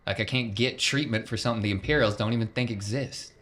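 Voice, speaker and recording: A man's voice: on the low side, fast talker, fairly bright tone, natural voice. A man playing a weary, bitter crime boss who talks in a blunt, folksy, dismissive way in a tabletop game.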